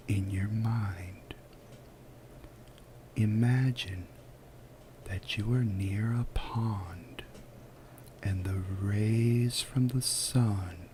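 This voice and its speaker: A man softly guiding a relaxation visualization in ASMR style, with a breathy, whispery voice and a soothing, unhurried delivery full of pauses. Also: fairly low pitch, slight background noise. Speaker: guided relaxation narrator. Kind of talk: soft-spoken ASMR guided visualization.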